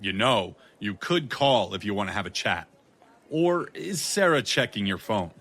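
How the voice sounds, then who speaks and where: An actor playing a guarded, sardonic ex-Marine detective in an audio drama, laconic and quick with retorts. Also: male voice, fairly low pitch, expressive, a bit bright, exaggerated voice.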